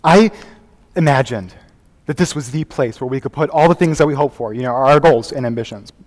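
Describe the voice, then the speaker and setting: A man's voice: mid-range voice, darkish tone, close to an everyday voice. Young man acting earnest performance artist, echoey hall. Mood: fond, wry. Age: young adult. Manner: theatrical, conversational storytelling with dramatic pauses. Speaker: high school competitive speech performer. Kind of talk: dramatic interpretation monologue on stage. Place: large hall before an audience. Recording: echoey hall, live contest recording.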